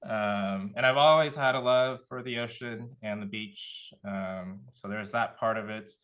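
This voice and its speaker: A man from California giving a relaxed career talk as a panelist, thinking aloud with many fillers. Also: lowish voice, a little slow. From Contra Costa County, California. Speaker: panelist, nonprofit director.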